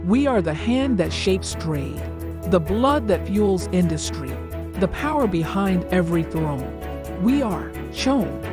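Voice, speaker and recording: A woman plays a spokeswoman for a vast galactic conglomerate in a scripted advert. Her voice is on the low side, measured and corporate, with music clearly playing underneath. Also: big pitch swings. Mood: polished, assured. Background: instrumental music.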